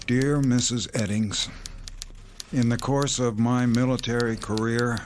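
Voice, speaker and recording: An actor playing a reserved army captain in a radio drama, speaking in a formal, measured, courteous way. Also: male voice, fairly low pitch, noisy background, lightly acted.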